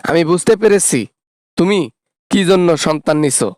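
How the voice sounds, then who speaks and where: A man's voice: high-pitched, moderate pace, darkish tone, no room sound, clean studio sound, lightly acted. A man playing a greedy, sarcastic adult son, scornful and demanding, in a satirical phone-call skit. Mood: aggrieved.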